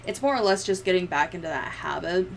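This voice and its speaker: A woman's voice: on the low side, expressive, fast, creaky. Casual, sweary woman streamer with background noise. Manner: sweary, informal, giggly. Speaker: livestreamer. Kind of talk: casual livestream chat, storytelling.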